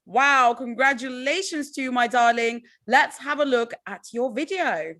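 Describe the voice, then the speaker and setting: A woman's voice: high-pitched, measured pace, a bit bright. Upbeat woman hosting an online ceremony. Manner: upbeat, encouraging, addresses audience as guys. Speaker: host and MC. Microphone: video call.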